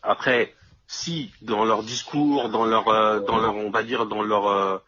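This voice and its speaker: A man's voice: on the low side, fairly even intonation, a little slow, sharp tone, muffled recording, slight background noise. A man in casual conversation, explaining things step by step and often checking that his listener follows.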